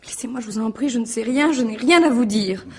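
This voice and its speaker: A distraught young mistress in a radio mystery. Her voice sits fairly high yet is veiled and husky. She speaks formally and guardedly, hesitating as she evades. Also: brisk, darkish tone. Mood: anguished. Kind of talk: French radio mystery drama acting.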